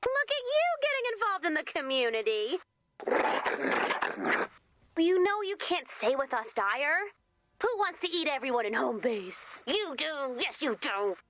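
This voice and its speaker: A woman's voice: high-pitched, very animated, a little slow, a bit bright, dull audio, slight background noise, full character voice. A woman voicing a quirky, chirpy and playful commander character in a video game. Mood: playful, doting.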